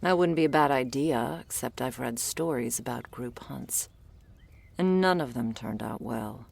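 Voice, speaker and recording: A woman playing a gruff, world-weary female monster hunter in an ASMR audio roleplay, curt, sardonic and bossy as she addresses the listener. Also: a little slow, darkish tone, heavily acted. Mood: serious, measured. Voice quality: low, husky, breathy with gravelly creak.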